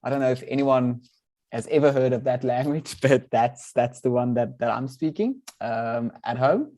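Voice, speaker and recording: A young man whose first language is Afrikaans hosts an online community event over a video call. His voice is warm and mellow, sitting at an ordinary middle pitch. He talks in a friendly, informal way, often padding with "um" and restarting his phrases. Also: normal speed. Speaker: event host, product manager. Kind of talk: webinar introduction and audience poll.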